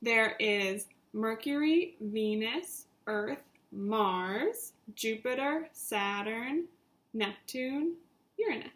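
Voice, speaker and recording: A woman's voice: on the high side, expressive, drawn-out words, a bit bright. A woman teacher reading a story aloud to young children, with simple, instructive phrasing and repetition.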